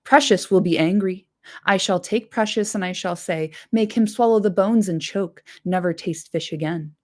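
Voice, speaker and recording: A woman voicing an anxious, gentle young male hobbit, pleading softly and urgently. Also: medium pitch, moderate pace, lightly acted.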